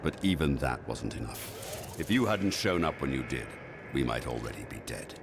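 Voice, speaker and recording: A weary game soldier. His very deep voice moves expressively and grimly over clear background noise. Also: expressive, moderate pace, heavily acted. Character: battle-weary male soldier, grim. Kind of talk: video game cutscene acting.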